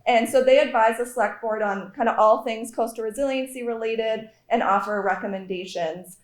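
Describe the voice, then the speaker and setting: A woman who is town staff, speaking briskly and in a friendly way at a forum. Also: on the high side. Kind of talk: forum presentation. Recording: roomy echo.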